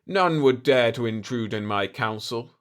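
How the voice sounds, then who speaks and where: A man's voice: mid-range voice, animated, unhurried, fairly bright tone, clean tone. A man voicing a burly, pompous villain potentate in an audiobook story, florid and theatrical with his threats. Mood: smug, sly.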